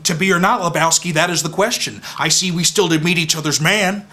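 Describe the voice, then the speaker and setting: A man's voice: medium pitch, animated, normal speed, pushed and bright. A man playing a blustering, hot-tempered knight in a pompous mock-Shakespearean dramatic recitation. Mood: indignant, heated. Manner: declamatory, pompous, emphatic.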